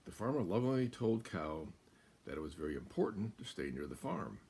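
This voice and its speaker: An older American man reading a children's picture book aloud, deliberate and expressive, with pauses. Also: deep voice, breathy edge. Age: older, about 70s. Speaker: guest storyteller. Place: small room at home, indoors.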